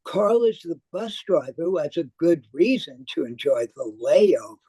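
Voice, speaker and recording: A woman theater critic reading a prepared review aloud in a measured, deliberate manner. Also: low voice, sing-song, dark tone, husky, no room sound. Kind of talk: scripted review read aloud.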